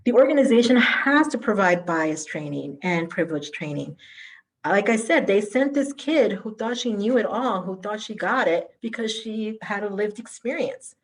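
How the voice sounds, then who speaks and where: A middle-aged woman from Colombia, whose first language is Spanish, gives a conference keynote. Her voice sits at an ordinary middle pitch. She talks in an animated way and often pokes fun at herself in quick asides. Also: poor audio. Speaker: keynote speaker.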